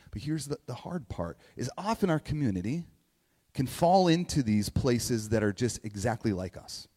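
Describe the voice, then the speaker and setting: A man's voice: medium pitch, dark tone. Man preaching informally in an echoey hall. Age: 40s. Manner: halting, repeats phrases, checks in with "right?". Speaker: pastor. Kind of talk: informal conversational sermon. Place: large reverberant indoor room, church gathering. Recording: echoey hall with long reverb.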